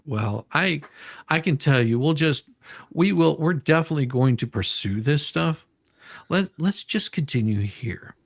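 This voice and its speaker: A gravelly voice plays a probing lawyer, as someone reading a transcript aloud. The questions come haltingly, with repeated phrases and some sympathy. The sound is dry and close. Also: mid-range voice, animated, no room sound. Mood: sympathetic. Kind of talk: dramatic reading of a legal deposition transcript.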